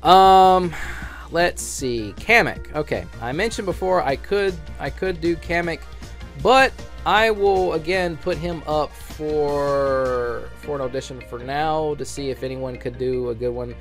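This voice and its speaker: A man's voice: on the high side, measured pace, a bit bright, soft background music. A man giving an unscripted, casual audition announcement for a fan dub, speaking in a hesitant, rambling way with frequent restarts.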